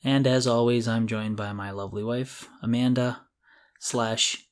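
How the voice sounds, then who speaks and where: A man's voice: mid-range voice, steady tone, unhurried. Casual middle-aged man, jokey podcast co-host. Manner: jokey, self-deprecating. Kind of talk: casual conversational podcast chat.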